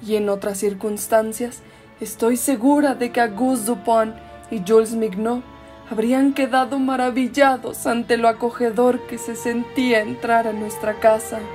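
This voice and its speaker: A woman's voice: a little high, fairly even intonation, measured pace, a little mellow, soft background music, natural voice. A woman with a Mexican accent playing a murdered woman's ghost who narrates a radio mystery in a composed storytelling way. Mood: wistful, composed. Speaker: amateur actor in a radio play. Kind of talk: scripted dramatic narration.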